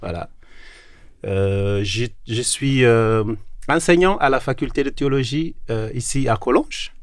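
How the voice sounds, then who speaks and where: A man's voice: medium pitch, a little slow, no room sound. A man from Cape Verde speaking as a guest lecturer in an informal studio interview, hesitant and searching for his words. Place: radio studio.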